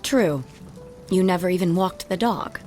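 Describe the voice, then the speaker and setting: Actress playing a sardonic low-voiced ex-wife, music behind. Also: female voice, unhurried, darkish tone, natural voice. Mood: bitter, angry. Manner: biting, sardonic retorts. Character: sharp-tongued, wounded ex-wife, sarcastic and guarded. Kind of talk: radio drama acting.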